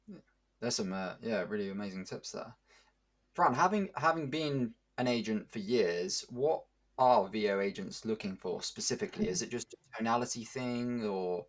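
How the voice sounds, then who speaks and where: A man's voice: lowish voice, animated, moderate pace. A man hosting an interview, putting questions to his guest in a conversational way. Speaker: podcast interviewer.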